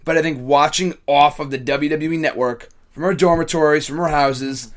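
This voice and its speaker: A hoarse young man hosting a casual wrestling podcast, excitable and rambling. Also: on the high side, normal speed. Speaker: podcast host.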